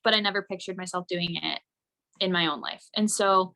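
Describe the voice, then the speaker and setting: A woman's voice: fairly low pitch, a little fast, pushed and bright. A woman in her twenties from Michigan sharing her story on a video call, upbeat and full of likes. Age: 20s. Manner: upbeat, filler-heavy. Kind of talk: personal testimony.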